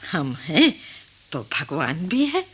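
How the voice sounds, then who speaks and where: A woman acting an old village grandmother in a Hindi radio drama, calm and philosophical, speaking in a measured, reflective way with dramatic pauses. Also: low voice, breathy edge, full character voice. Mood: philosophical, assured. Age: older.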